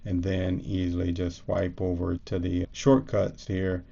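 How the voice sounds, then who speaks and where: Low, gravelly man giving a tutorial. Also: little pitch movement, measured pace, warm tone, dull audio. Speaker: tutorial presenter. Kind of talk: casual step-by-step screencast explanation.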